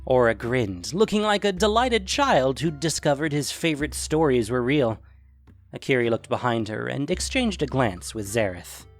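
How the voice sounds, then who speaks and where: A man's voice: mid-range voice, very animated, moderate pace, soft background music. A man narrating a scripted fantasy story as its storyteller.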